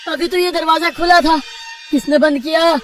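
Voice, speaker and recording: Man doing a high-pitched, excitable young student. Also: moderate pace, distant mic, soft background music. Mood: panicked. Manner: animated, exclamatory. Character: bold, adventurous young male college student, easily panicked.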